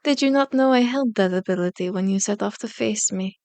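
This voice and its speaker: A woman playing a powerful elder vampire in an ASMR roleplay, seductive and taunting, with slow, deliberate, formally worded speech. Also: medium pitch, a little mellow, slight background noise, close to an everyday voice. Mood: coaxing, hypnotic. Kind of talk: ASMR roleplay, scripted monologue. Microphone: close microphone, dry recording.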